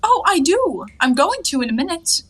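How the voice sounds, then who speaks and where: Casting: high-pitched young woman, flippant and teasing, lofty airs, twenties stage-comedy delivery. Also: very animated, normal speed. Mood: flippant, carefree. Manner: airy, teasing, mock-grand phrasing. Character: playful, cheeky, carefree young woman lounging in her bath. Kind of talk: theatrical 1920s stage comedy acting.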